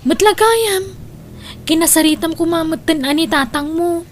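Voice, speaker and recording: A woman's voice: extremely high pitch, normal speed. A woman acting as an inquisitive, chatty young confidante in an audio drama, speaking with an Ilocano accent. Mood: curious, sympathetic.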